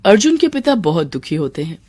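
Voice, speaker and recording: A woman's voice: on the low side, big pitch swings, a little fast, a little mellow, slight background noise. A woman narrating a scripted moral story for children.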